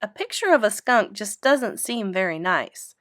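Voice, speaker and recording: A woman voicing a gentle, dry, mildly disapproving mother in a storybook, speaking quietly and in a measured way. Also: medium pitch, big pitch swings, no echo. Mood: doubtful.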